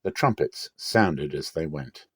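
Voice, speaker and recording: A man giving a dramatic, solemn and deliberate narration of scripture as a reader. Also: extremely low pitch, lively intonation, slightly rough, no echo, studio quality.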